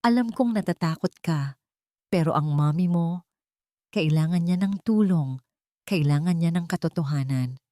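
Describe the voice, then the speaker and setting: A woman voicing an earnest, determined mother with a soft, pleading manner in a dramatic story. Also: lowish voice, normal speed, long pauses, no room sound, clean studio sound, close to an everyday voice. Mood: gentle, pleading. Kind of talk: dramatic audiobook character voice.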